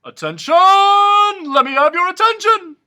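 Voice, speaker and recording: A blustering, gloomy king with a high, bright, booming voice, as a storyteller puts him on. He barks royal commands, then sinks back into sad grumbling, in a storybook reading. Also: big pitch swings, unhurried. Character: gloomy, blustering middle-aged male king.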